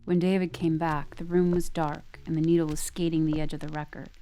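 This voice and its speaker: An actress playing a bleak, resentful girlfriend who narrates a horror audio drama in a deadpan, brooding way. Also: deep voice, fairly quick, soft background music, rough recording.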